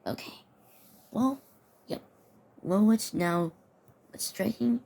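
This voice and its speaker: A woman's voice: medium pitch, slow pace, creaky, darkish tone. A woman reading mock severe weather warnings aloud with her own commentary, often stumbling over and repeating words. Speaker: mock emergency alert narrator.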